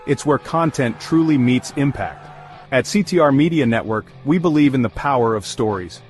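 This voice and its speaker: A man reading a scripted network promo in a measured, smooth delivery. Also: mid-range voice. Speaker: promo announcer. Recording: promo with faint guitar music.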